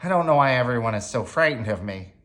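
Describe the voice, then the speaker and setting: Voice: medium pitch, animated, quick pace, fairly bright tone, natural voice. A storyteller as a tiny sheepish monster. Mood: sheepish, sad. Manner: hesitant, timid. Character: tiny, sheepish, harmless male furry monster.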